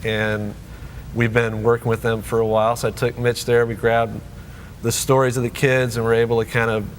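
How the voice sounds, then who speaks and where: An easygoing man from Hong Kong chats as a guest on a television interview. He has a mid-pitched voice and jokes and chuckles as he talks, with faint hiss behind him. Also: flat delivery, moderate pace, poor audio. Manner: easygoing and joking, chuckles often. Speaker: guest, tour producer. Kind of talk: relaxed conversational interview.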